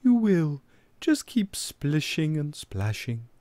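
A man's voice: fairly high pitch, very animated, slow pace, mellow, no echo, pitched above the performer's own voice. A man voicing a caring, encouraging mother frog in a children's storybook, in a motherly manner.

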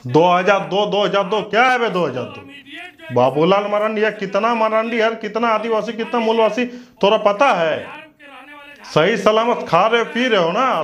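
Guy in his 30s, high voice, sweary scornful political rant into a lapel mic. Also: fairly bright tone, lo-fi sound. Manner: scornful, abusive, confrontational. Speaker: news commentator. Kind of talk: combative political rant to camera.

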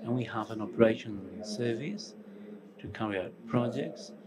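A middle-aged man with a Portuguese accent, mixed with some Spanish, giving a conference talk as a company co-founder. He speaks in short, halting phrases with pauses. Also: mid-range voice, lively intonation. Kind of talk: conference presentation. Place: large conference hall stage, indoors. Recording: conference hall with audience noise.

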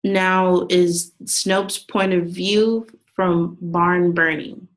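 Flat-voiced young woman presenting slides. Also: on the low side, drawn-out words. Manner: reads steadily from notes with some restarts. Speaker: student presenter. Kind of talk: class presentation read from slides.

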